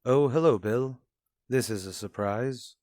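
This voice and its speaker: A man's voice: medium pitch, measured pace, a little mellow, no room sound, studio quality, lightly acted. A man playing a self-assured, dismissive father and husband in an old-fashioned radio soap, formal and slightly pompous. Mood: composed, formal.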